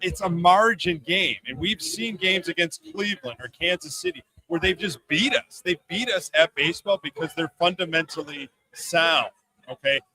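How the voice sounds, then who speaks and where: A man's voice: on the high side, lively intonation, normal speed, bright, forceful tone, rough recording. A man giving casual, emphatic sports commentary as a podcast guest, repeating himself to stress a point.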